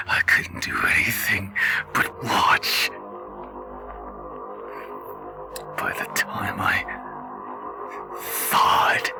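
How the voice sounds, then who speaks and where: A man voicing a grieving father in his thirties, a weary wasteland survivor, in a halting, dramatic roleplay monologue. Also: deep voice, creaky, full character voice. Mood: haunted, grieving. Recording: background music under the voice.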